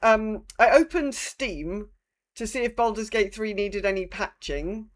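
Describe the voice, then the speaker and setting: A woman's voice: mid-range voice, a little slow. A woman with a mid-range voice co-hosts a gaming podcast. She talks in an informal, chatty way, feeling her way through sentences and swearing freely. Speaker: podcast co-host. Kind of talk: casual gaming chat.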